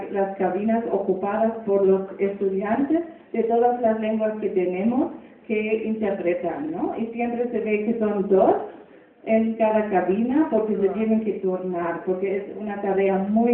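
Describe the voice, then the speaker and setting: A woman giving a conference talk about her university faculty, hesitant, pausing often with filler sounds and tag questions. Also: medium pitch. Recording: echoey hall, distant, phone-like.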